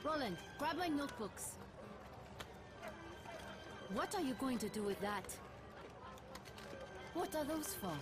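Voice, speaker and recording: A woman's voice: high voice, lively intonation, slow, pauses often, over loud music. A woman playing a bossy, headstrong resistance scientist in a video game, firm and scolding. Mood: incredulous. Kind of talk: video game cutscene acting.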